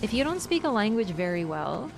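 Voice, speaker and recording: A woman's voice: medium pitch, a little fast, vocal fry, over music. Chatty woman streamer. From Canada. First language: Polish. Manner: chatty, animated storytelling, talks to chat. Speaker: gaming streamer. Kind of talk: casual chat while playing.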